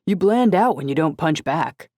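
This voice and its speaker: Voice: mid-range voice, expressive, moderate pace, darkish tone, no room sound, studio quality, pitched above the performer's own voice, natural voice. A narrator voicing an easygoing, athletic teenage older brother with a casual, laid-back manner.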